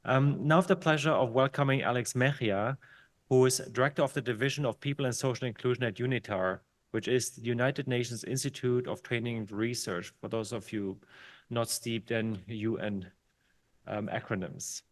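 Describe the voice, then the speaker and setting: A man's voice: mid-range voice, normal speed. Man hosting and introducing speakers. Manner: friendly, lightly joking asides. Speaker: event host.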